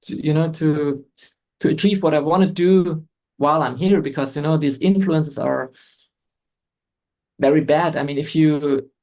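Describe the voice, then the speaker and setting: A middle-aged man with a gravelly edge and a slightly raised pitch for a man. He talks in a halting way, restarting sentences and reaching for words. It sounds like a relaxed podcast interview. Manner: hesitant, frequent restarts and fillers. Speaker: guest photographer.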